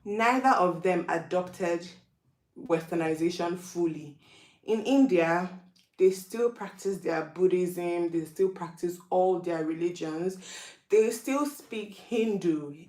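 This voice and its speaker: A Nigerian-accented woman talks through her thoughts in a relaxed vlog monologue. She keeps asking rhetorical questions as she reflects aloud. Her voice sits a little low, and the recording carries a noticeable room echo. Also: slow. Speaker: vlogger. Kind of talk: casual opinion monologue.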